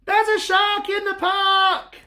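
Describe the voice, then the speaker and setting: A man voicing an excited little boy who shouts in alarm while reading a picture book aloud. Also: very high voice, slow, voice pushed up, heavily acted.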